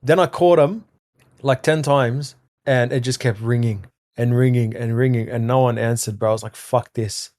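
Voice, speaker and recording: A man's voice: medium pitch, steady tone, moderate pace, a little mellow, no room sound, studio quality. Sweary, slangy young man. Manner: blunt, sweary, slangy storyteller. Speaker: podcast co-host. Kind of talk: casual chat.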